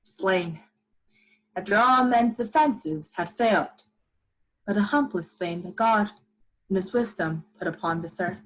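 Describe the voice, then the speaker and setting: A young woman playing a solemn scientist survivor, narrating a radio play in grave, literary, deliberate phrases. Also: medium pitch, natural voice, low-quality recording. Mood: somber narration. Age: young adult. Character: solemn scientist survivor recounting a devastated world. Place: living room at home, video call.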